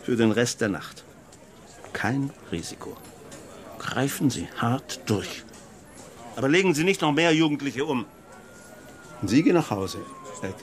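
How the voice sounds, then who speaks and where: An actor playing an authoritative police chief who gives brusque official orders in a radio crime drama. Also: male voice, mid-range voice, big pitch swings, unhurried, pauses often. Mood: stern. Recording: loud crowded street with traffic.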